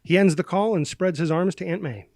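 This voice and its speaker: A man's voice: high voice, fairly quick, vocal fry, a little mellow, no echo. A man reading screenplay stage directions as a dramatic scripted narrator.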